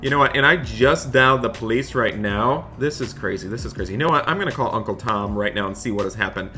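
A man hosting a gaming roleplay as a dad, narrating excitedly in a dramatic, exasperated way and repeating himself. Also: mid-range voice, fast. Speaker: gaming video host playing a dad in roleplay. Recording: background music behind voice. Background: music.

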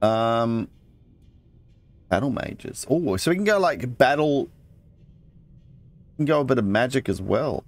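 A man's voice: mid-range voice, animated, slow, long pauses. A man hosting a let's play, casually thinking aloud and reading game text as he goes. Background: game music.

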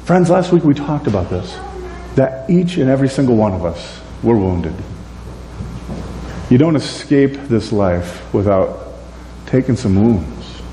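A man in his forties preaching a sermon in a church, animated and gesturing, moving between loud and soft. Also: medium pitch, measured pace. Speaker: pastor. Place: church sanctuary, indoors. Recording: echoey church room, faint hum.

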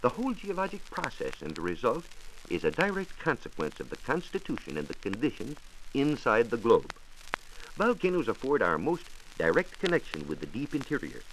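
Low-voiced, animated 1940s radio science man. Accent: American. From United States. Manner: formal, precise, measured explaining. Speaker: science reporter on a radio programme. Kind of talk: 1940s scripted educational radio talk. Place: radio studio. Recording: noisy vintage 1940s radio transcription disc.

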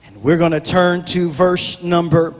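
A middle-aged man preaching on a church stage into a handheld mic, with a shouting, half-sung preacher cadence that drops to quiet prayer. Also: high voice, little pitch movement, a little slow. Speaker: pastor. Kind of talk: Pentecostal sermon and prayer. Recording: long church reverb, music behind.

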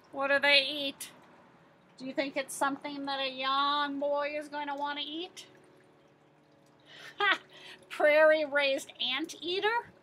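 A woman in her fifties reading a picture book aloud as a teacher, expressive and putting questions to her listeners. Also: high-pitched, poor audio. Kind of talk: animated children's picture-book read-aloud.

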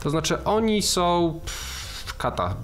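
A man's voice: high voice, big pitch swings, slow pace. A man co-hosting a film podcast, talking through his ideas with many restarts and fillers. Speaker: podcast co-host. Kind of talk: casual film discussion.

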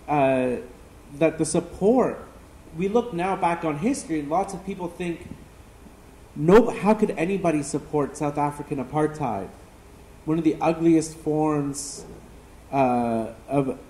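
A man's voice: a little high, dark tone. A man giving an impassioned panel talk as an activist, with many filler sounds, recorded in a university classroom. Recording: echoey classroom, faint noise.

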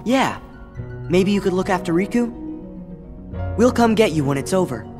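A man's voice: high voice, animated, normal speed. A man playing an earnest, kind-hearted teenage boy hero in a game cutscene, sincere and reassuring. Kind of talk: animated game cutscene acting. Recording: long reverb, music behind.

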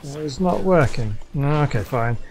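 A man's voice: fairly high pitch, expressive, normal speed, background noise. A man giving casual gameplay commentary, hesitant and talking half to himself. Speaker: gaming YouTuber. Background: game music.

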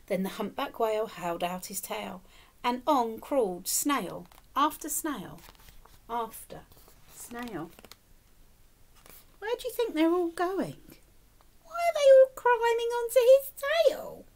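A woman with a high, very lively voice reads a picture book for small children. She takes her time and exaggerates her expression like a teacher at storytime, encouraging the listeners. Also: slow pace, long pauses. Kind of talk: animated children's storytime reading with questions to the listeners. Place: small indoor room, plain wall.

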